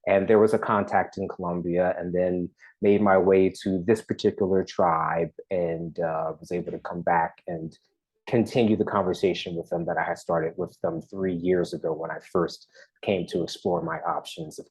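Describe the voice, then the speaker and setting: Halting, restarting man in his forties. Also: deep voice, breathy edge, low-quality recording. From US East Coast. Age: 40s. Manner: halting, many restarts, lively when enthused. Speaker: documentary artist guest. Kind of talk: casual interview talk.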